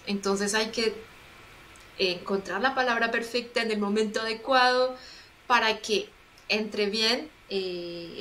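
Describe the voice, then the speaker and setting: A woman speaking as a podcast guest, explaining her points in a reflective, measured way. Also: mid-range voice, fairly bright tone, a little hiss or hum, poor audio. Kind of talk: casual podcast chat.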